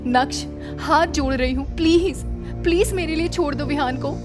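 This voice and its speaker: A woman's voice: sits high, normal speed, music in the background, lightly acted. A woman playing an anxious young wife in an audio drama, pleading and distressed. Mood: desperate pleading. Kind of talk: Hindi audio drama acting.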